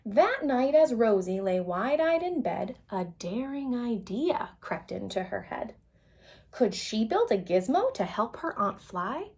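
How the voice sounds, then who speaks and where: A woman tells a children's story in a fairly high voice. She reads very slowly and expressively, giving phrases dramatic emphasis as a picture-book storyteller would. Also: sing-song, a little mellow. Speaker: read-aloud storyteller.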